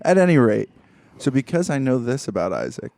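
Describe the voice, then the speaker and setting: Mellow, wry man in casual chat. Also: medium pitch, big pitch swings, fairly quick, gravelly. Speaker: podcast co-host.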